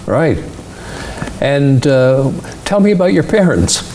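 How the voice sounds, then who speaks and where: A man hosts an interview in a slow, deliberate way, taking his time between words. His voice sits a little high and carries a gravelly texture. A faint hum hangs behind him. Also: very animated, darkish tone, low-quality recording. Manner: deliberate with many hesitations and fillers. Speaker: interview host. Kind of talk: slow, measured interview questions.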